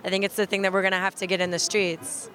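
A woman's voice: medium pitch, flat delivery, quick pace, a bit bright. A woman from New York City talks at an outdoor protest. She speaks casually and drops in fillers as she goes. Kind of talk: street interview.

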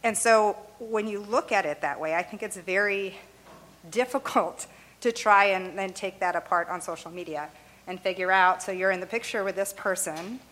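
Woman, native Arabic speaker, interview guest, conversational, chatty fillers, medium pitch. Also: normal speed, low-quality recording. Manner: lively, talks with "you know" and "right?", laughs lightly. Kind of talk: conversational explaining.

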